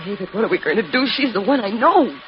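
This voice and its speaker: An actress playing a frightened, panicky wife stranded at night in a 1950s-style radio drama. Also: female voice, mid-range voice, big pitch swings, fast, close to an everyday voice. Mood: hushed dread. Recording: old muffled radio recording, heavy noise.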